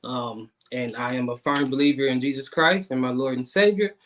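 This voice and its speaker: A man, hosting an online talk show in a casual, halting way with many repeated words and fillers. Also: medium pitch, a bit bright, a little hiss or hum. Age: 30s. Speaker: guest host.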